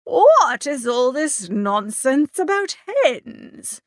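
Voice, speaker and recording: A man voicing a stern, disapproving old duke in an audiobook, with slow, clipped, haughty delivery. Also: very high-pitched, big pitch swings, no echo, studio quality, voice pushed up, exaggerated voice. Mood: indignant. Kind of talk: audiobook character acting.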